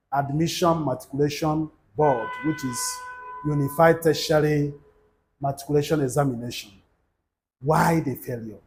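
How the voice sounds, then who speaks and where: A man, giving a measured, formal address to viewers. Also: a little high, a little mellow, slight background noise. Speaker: education channel host.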